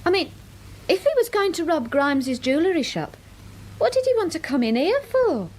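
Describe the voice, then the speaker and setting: High-voiced, practical chip shop girl. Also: very animated, normal speed, darkish tone. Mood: caring, puzzled. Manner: colloquial, practical. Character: plain-spoken, comforting chip shop assistant, a woman. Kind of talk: radio drama dialogue.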